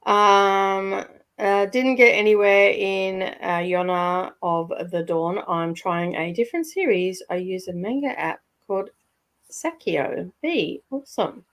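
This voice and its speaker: A woman from Michigan chats casually as the host of a reading stream. Her voice sits in an ordinary middle pitch, and her talk is loose and full of little laughs and fillers. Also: slow pace, a bit bright. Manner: chatty, laughs often, many fillers. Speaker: livestream host. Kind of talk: casual chat with viewers.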